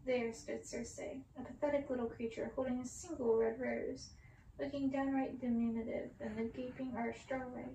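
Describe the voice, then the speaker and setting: A woman reading a story in ASMR style, with a slow, breathy, intimate delivery. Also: a little high, flat delivery, warm tone, echoey room, slight background noise, poor audio.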